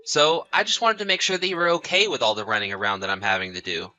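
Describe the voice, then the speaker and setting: A man's voice: mid-range voice, steady tone, quick pace, pushed and bright, smooth, soft background music. A man playing an anxious, trusting husband in a scripted audio story, apologetic and full of questions. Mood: probing. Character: anxious, trusting husband often away on business.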